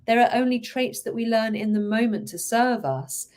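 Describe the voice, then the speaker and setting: Woman hypnotherapist host, soothing and slow, pitch a bit high. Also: animated, darkish tone. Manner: soothing, slow guiding cadence. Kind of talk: guided hypnosis and casual chat.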